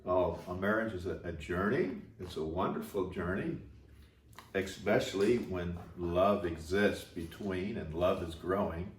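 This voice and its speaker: An older man from Chicago speaks in a low voice as a podcast co-host. His delivery is casual and conversational, picked up slightly from afar in a reverberant room. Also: a little slow. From Chicago, United States. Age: 60s. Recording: roomy, distant mic, faint noise.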